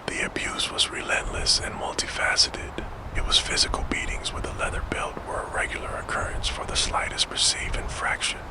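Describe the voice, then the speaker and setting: A man narrating a scripted story in a hushed, gentle ASMR whisper, as the host of a sleep storytelling show. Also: normal speed, rough recording. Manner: hushed, gentle, soothing delivery. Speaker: host and narrator of a true crime sleep channel.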